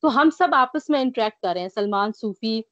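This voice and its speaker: Tired woman, slightly breathless with a light cough, earnest guest; higher voice, dry lo-fi video call sound. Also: animated, normal speed, a bit bright, no echo. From Pakistan. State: mild cough. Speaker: guest recovering from covid. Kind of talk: remote interview. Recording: dry, dull lo-fi call audio.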